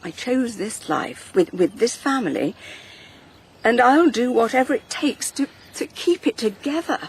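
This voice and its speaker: Radio drama: earnest older English wife, hurt but resolute, gravelly fry, faint background noise. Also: female voice, fairly high pitch, lively intonation, moderate pace. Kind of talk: naturalistic radio drama acting.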